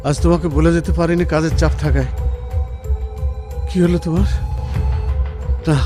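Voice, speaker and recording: A man's voice: on the high side, steady tone, normal speed, dark tone, close to an everyday voice. A man acting a busy husband in an audio drama. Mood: alarmed, urgent. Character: busy husband, placating at home, later frantic. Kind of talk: Bengali radio drama acting. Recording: music playing behind the voice.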